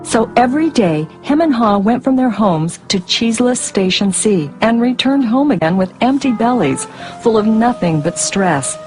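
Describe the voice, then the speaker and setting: A woman giving scripted storybook narration in an expressive way, with music behind her. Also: on the high side, moderate pace, poor audio.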